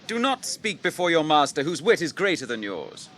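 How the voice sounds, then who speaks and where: An English actor playing a stern, noble warrior captain in a radio drama, with a grave, deliberate, declamatory delivery. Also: male voice, a little high, big pitch swings, fairly bright tone. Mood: commanding, grave. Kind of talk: BBC radio drama acting.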